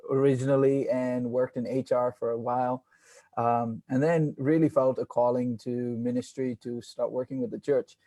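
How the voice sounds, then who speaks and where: Soft-spoken man from India, flat and mellow. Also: mid-range voice, no room sound. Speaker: pastor. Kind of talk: panel introduction on a video call. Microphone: video call.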